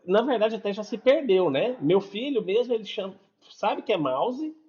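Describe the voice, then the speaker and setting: A man's voice: high-pitched, big pitch swings, moderate pace, darkish tone. A man from Brazil with a Brazilian Portuguese accent, explaining a lesson in a casual, chatty way and checking in with listeners after nearly every sentence. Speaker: English teacher. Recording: dull lo-fi recording.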